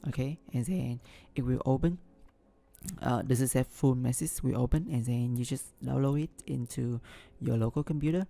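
A man hosting a screen-recorded software tutorial, talking through each step with frequent ums and restarts, English not his first language. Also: mid-range voice, normal speed, creaky. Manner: hesitant, many ums and restarts. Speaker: business analyst tutorial host.

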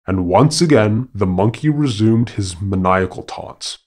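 A man narrating a scripted animal fable as a podcast storyteller, in a formal, measured and dramatic manner. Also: on the low side, lively intonation, a little mellow.

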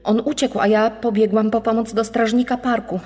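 An actress playing a shaken female hiker giving husky-voiced testimony as an eyewitness. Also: a little high, level tone, moderate pace, warm tone, natural voice. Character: shaken female hiker who witnessed an attack. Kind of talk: dramatized witness testimony. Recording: long reverb, music underneath.

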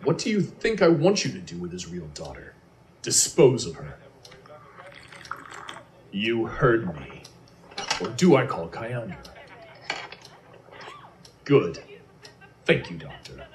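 A man playing a ruthless military conspirator in a science fiction radio play. The voice sits low. Orders come out clipped and commanding, with a cool menace underneath. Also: very animated, slow, pauses often. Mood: menacing, curt. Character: cold, ruthless male military schemer giving orders. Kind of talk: science fiction audio drama acting.

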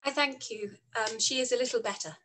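A young woman playing a witty, self-possessed gentlewoman in a period drama read over video call. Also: on the high side, brisk. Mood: polite, modest. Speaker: actor in live read. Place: small room at home.

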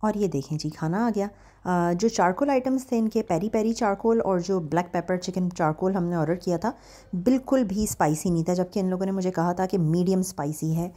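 A woman in her thirties talks through a restaurant visit in a calm, friendly voice-over. Her voice sits a little low and sounds warm and mellow, and she mixes English words into her review. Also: a little fast. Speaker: food vlogger. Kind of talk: scripted voice-over narration.

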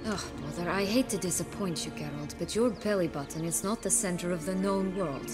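A proud, cynical noblewoman with a dark past, from a fantasy game, acted by a woman. Her voice sits fairly low, measured and sardonic, with music clearly behind it. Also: lightly acted. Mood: sardonic, intimate. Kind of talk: fantasy video game acting.

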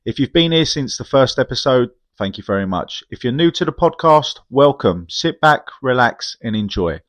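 A man's voice: medium pitch, lively intonation, moderate pace. A man hosting a casual podcast interview over a video call, chatty and laddish, swearing freely. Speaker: podcast host.

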